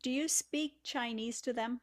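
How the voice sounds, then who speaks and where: A woman's voice: on the high side, a little slow. A woman from Romania hosts an interview, asking questions and sharing her own story in a conversational way. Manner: conversational, asks leading questions. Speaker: podcast host.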